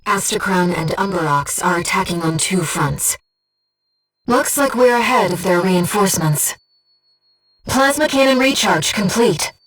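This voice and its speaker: A woman voicing a young, gentle, bodiless female spirit in a video game, soft-spoken and precise as she guides a mercenary through missions. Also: medium pitch, animated, measured pace, recorded from a distance, poor audio. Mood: composed, focused. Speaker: mission operator companion. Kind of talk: video game voice acting, tactical radio guidance.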